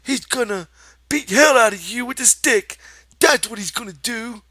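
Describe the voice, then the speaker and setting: A man voicing a giant imaginary rabbit that sneers and scolds in a high, harsh voice. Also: takes it slowly, pushed and bright, no echo, clean studio sound, heavily acted. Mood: scornful. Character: giant scornful imaginary rabbit, male, taunting.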